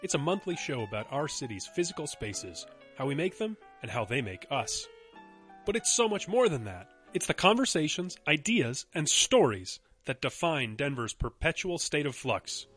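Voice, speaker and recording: A man's voice: a little high, big pitch swings, normal speed, a bit bright, soft background music. A man hosting a podcast reads an upbeat, scripted promo for his show. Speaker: podcast host doing a promo.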